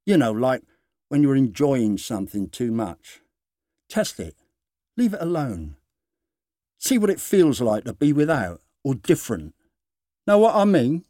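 A man voicing a quietly menacing male bully in a storybook reading, speaking precisely and deliberately. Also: medium pitch, big pitch swings, no room sound, studio quality. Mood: coldly intimate.

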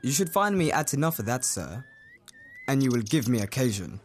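A man's voice: mid-range voice, very animated, moderate pace, a little hiss or hum, lightly acted. A young man student playing a menacing, quarrelsome swordsman in a Shakespeare audio play, confrontational in manner. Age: young adult.

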